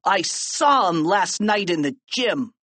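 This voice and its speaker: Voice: medium pitch, very animated, slow, a bit bright, no echo, voice pushed up, heavily acted. A narrator voicing a smug, self-important tattletale schoolboy in a children's story. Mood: accusing.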